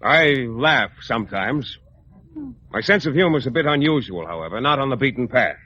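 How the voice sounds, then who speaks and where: An actor playing a haughty, bossy painter with a morbid streak, imperious and theatrical in old radio comedy style. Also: male voice, mid-range voice, expressive, normal speed, sharp tone, slightly rough. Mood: gleefully morbid. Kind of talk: 1940s-50s radio comedy acting. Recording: muffled, faintly noisy old radio broadcast.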